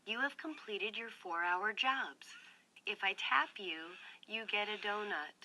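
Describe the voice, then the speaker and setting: A woman playing a calm, rigid robot in an animated sci-fi parody, slow, measured and emotionless. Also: medium pitch. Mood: calm, unyielding. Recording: noisy, quiet game cutscene clip.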